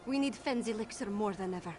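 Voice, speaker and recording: A woman's voice: medium pitch, quick pace, over music, close to an everyday voice. An actress playing a resolute, grave and caring female warrior leader in a video game. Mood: grave, determined.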